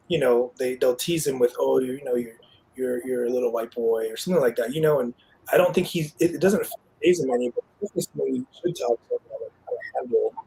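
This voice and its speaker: A man's voice: mid-range voice, gravelly, poor audio. A man talking casually as a guest parent on a video call, fatherly and loose, with many "like" fillers. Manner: warm, fatherly, many "like" fillers, quotes his son. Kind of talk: casual panel conversation.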